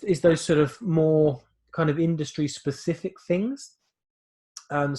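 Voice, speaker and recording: Hesitant man teaching online. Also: mid-range voice. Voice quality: loud voice. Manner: hesitant, many fillers and restarts. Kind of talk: online class briefing.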